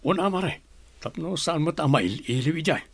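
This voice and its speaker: A man's voice: a little high, animated, normal speed, vocal fry, slightly rough, no echo, full character voice. A man playing a friendly, chatty family friend in a Filipino radio drama, speaking conversationally.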